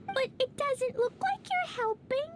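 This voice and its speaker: A woman's voice: animated, unhurried. A woman voicing an innocent, curious little girl in a video game, with a childlike, earnest way of talking. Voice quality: high, childlike. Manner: simple, earnest, exclamatory. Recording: music playing behind the voice.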